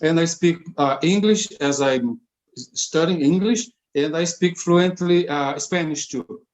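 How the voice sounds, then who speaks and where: A man with a Brazilian Portuguese accent speaking English as a second language, halting and repeating words with many fillers in a casual interview. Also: fairly high pitch, low-quality recording. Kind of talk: casual conversation.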